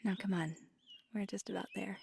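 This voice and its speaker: A woman playing a feisty, affectionate magical girlfriend in an ASMR roleplay, talking casually and often dropping to a breathy murmur. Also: medium pitch, steady tone, moderate pace, darkish tone, natural voice. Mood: soft, grateful. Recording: clear background noise with birdsong. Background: birdsong and outdoor ambience.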